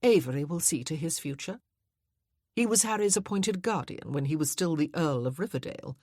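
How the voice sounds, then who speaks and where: A woman voicing a composed aristocratic duchess who speaks briskly and matter-of-factly. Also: deep voice, animated, darkish tone, dry recording, clean studio sound.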